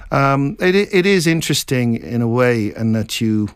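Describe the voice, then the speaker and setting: A middle-aged man from Scotland, an author being interviewed, talking in a reflective, meandering way with halting restarts. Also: mid-range voice, animated, smooth, dry recording, clean studio sound. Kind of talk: casual interview chat.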